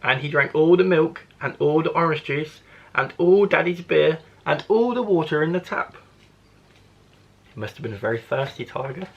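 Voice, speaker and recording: A man's voice: on the high side, lively intonation, moderate pace, husky, muffled recording. A man, a primary school teacher, reading a children's storybook expressively to young listeners.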